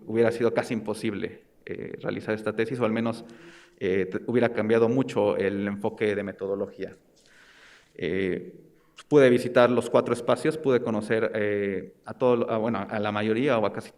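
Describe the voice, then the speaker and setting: A man's voice: lowish voice, a little mellow. A young man with a Mexican accent giving an academic research presentation, speaking hesitantly and often searching for words. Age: young adult. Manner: hesitant, halting delivery with searching pauses. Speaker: graduate student presenting research.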